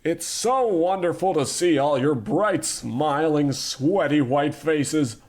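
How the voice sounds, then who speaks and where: A man's voice: fairly high pitch, slow pace, darkish tone. A man playing a pompous, flippant animation tycoon in a comedy radio play, smug and careless. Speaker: company boss character.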